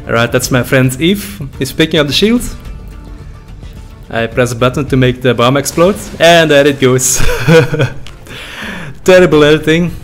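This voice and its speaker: A man's voice: mid-range voice, moderate pace, pauses often, soft background music. A young man with a Dutch-speaking Belgian accent, joking and laughing while reacting to his old videos at a desk mic in a small room. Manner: laughing, joking, loose and profane. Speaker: YouTuber. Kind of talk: reaction commentary. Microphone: desk boom mic with headphones.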